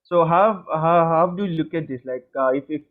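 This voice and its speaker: A man with a somewhat raised pitch asks interview questions in a halting way, full of fillers and restarts. His voice comes through a thin, tinny, phone-like line. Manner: hesitant, many fillers and restarts.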